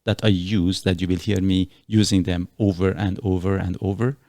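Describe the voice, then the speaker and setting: Deep gravelly middle-aged man from Iran. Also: steady tone, moderate pace, warm tone, no room sound, clean studio sound. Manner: earnest, rambling explanations with many fillers. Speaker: podcast host. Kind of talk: casual podcast chat.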